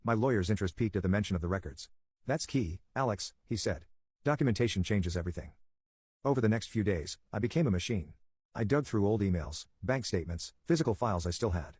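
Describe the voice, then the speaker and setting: A man's voice: lowish voice, lively intonation, quick pace, darkish tone, breathy edge, no room sound, clean studio sound. A man narrating a scripted first-person story in dramatic, punchy phrases.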